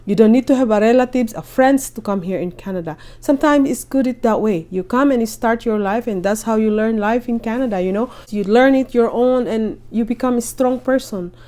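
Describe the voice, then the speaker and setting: Woman vlogger at home, mellow voice, talks straight to camera, encouraging, keeps checking in with viewers. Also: fairly high pitch, fairly quick, hardly any pauses. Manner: chatty and encouraging, often checks in with "okay?" and "you know". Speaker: vlogger giving caregiver job advice. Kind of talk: casual advice vlog to camera. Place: at home in Canada.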